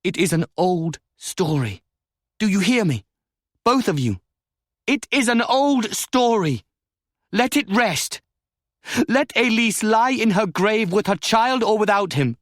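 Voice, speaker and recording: A cold, commanding countess of high rank, put on by a male reader, her voice lifted well above his own and each word set down hard. Her tone is brittle and forceful, and the recording sits close and dry with no room around it. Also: expressive, takes it slowly, long pauses, no room sound. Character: imperious commanding noblewoman, a countess.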